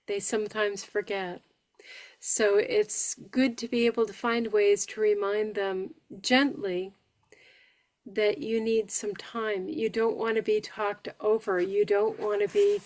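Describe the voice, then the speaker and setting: Woman with aphasia, from New York State, sharing her story on a video call; measured, careful articulation. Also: mid-range voice, a little mellow. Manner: slow, deliberate speech with careful pronunciation after aphasia. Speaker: support group guest speaker. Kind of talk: sharing personal experience with a support group.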